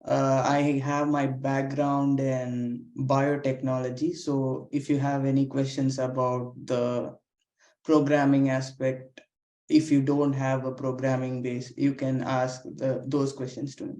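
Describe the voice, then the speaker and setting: Slow flat-voiced young man. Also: medium pitch. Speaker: master's student panelist. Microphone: video call. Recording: roomy echo.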